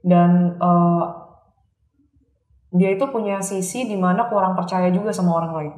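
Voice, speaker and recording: Low-voiced Indonesian woman in echoey room. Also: steady tone, a little slow, a little mellow. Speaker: psychic reader on a talk show. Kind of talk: casual conversational explanation. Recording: roomy, echoing voice recording.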